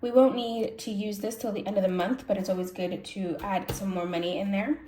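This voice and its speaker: A woman's voice: medium pitch, steady tone, a little fast, warm tone. A congested, sniffly woman hosting a budgeting vlog, chatting casually and correcting herself as she thinks aloud. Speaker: budgeting channel host. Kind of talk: casual cash-stuffing walkthrough. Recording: echoey room, faint noise.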